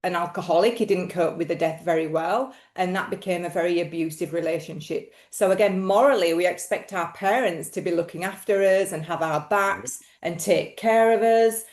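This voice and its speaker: A woman's voice: lowish voice, normal speed, few pauses, a little mellow. A woman from England, explaining her field earnestly as a podcast guest. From Northern England. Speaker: guest expert. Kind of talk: podcast interview. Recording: roomy echo.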